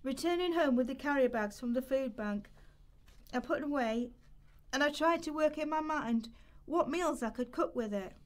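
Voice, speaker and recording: A woman's voice: high voice, normal speed, close to an everyday voice. A woman playing an anxious, despairing young woman on benefits, confiding her story in a radio play rehearsal. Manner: confessional first-person storytelling.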